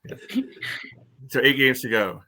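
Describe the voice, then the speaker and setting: A man co-hosting a sports podcast, asking questions in a measured way. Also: mid-range voice, bright, forceful tone, slight background noise. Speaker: podcast co-host. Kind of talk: casual chat.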